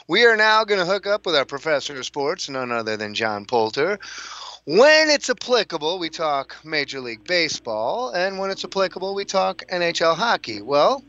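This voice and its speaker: A man's voice: fairly high pitch, sharp tone. A man hosting a radio sports show, chatting loosely with plenty of fillers. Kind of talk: casual sports talk. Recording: dull lo-fi broadcast sound.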